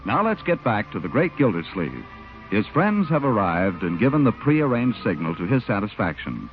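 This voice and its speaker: A man's voice: lowish voice, big pitch swings, moderate pace, music in the background. A man reading scripted announcements on 1940s radio in a polished, emphatic salesman's delivery. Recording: tinny, narrow-band 1940s radio recording.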